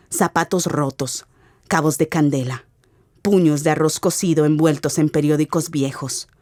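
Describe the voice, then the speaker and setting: A woman giving a dramatic, deliberate literary narration for a radio adaptation. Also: low-pitched, warm tone, no echo, clean studio sound.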